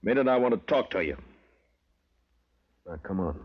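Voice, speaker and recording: Low-voiced grim frontier marshal. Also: male voice, moderate pace, husky. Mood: stern. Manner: terse, authoritative, deadpan. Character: grim, laconic frontier marshal of the Old West. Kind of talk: old-time radio western acting. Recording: muffled old radio recording with loud music.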